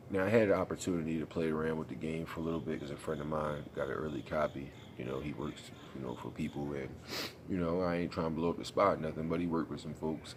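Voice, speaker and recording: Casting: congested young man, very low voice, slangy gaming vlogger, faint background hum. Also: a little mellow. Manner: laid-back, rambling, slangy. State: congested, sniffly. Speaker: gaming YouTuber. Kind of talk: casual vlog commentary.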